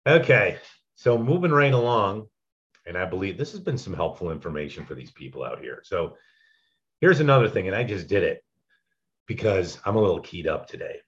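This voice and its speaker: Deep, loud-voiced man, dull lo-fi sound. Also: very animated, a little fast. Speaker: public speaking instructor. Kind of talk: casual teaching chat.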